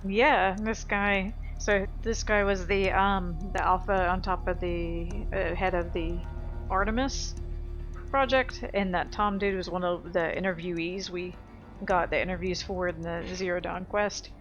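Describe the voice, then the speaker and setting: A woman's voice: on the low side, fairly even intonation, measured pace, lo-fi sound, soft background music. A woman giving casual, informal let's play commentary, thinking aloud as she plays. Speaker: let's player.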